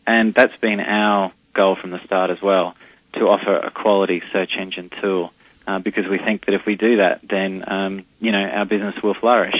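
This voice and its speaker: A deep-voiced Australian man answers questions down a muffled phone line, his voice husky and low. He talks in a hesitant way, full of "uh" and restarts. The line is dull, close and dry. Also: little pitch movement, normal speed, dry recording. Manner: hesitant, many fillers and restarts. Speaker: company CEO, interview guest. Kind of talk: phone interview on radio. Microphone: telephone line.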